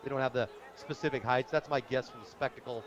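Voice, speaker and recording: A man's voice: mid-range voice, steady tone, quick pace, crowd noise. An American man working as a radio play-by-play announcer, giving enthusiastic, descriptive live football commentary outdoors at a stadium. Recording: dull lo-fi outdoor radio broadcast.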